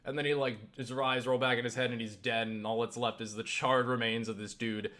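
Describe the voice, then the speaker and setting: A man rambling through a casual vlog monologue, halting and circling back on himself, with casual swearing. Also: medium pitch, level tone, bright, forceful tone. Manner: halting, self-interrupting, circling back with repeated "you know"; casually profane. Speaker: YouTube vlogger.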